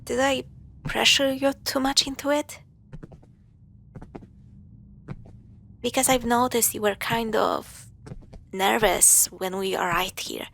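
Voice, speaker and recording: A woman playing a caring, playful young girlfriend in a spooky audio roleplay, talking straight to her partner in halting conversational phrases with sighs. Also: a little high, steady tone, gravelly, a bit bright, slight background noise. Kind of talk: spoken roleplay to the listener.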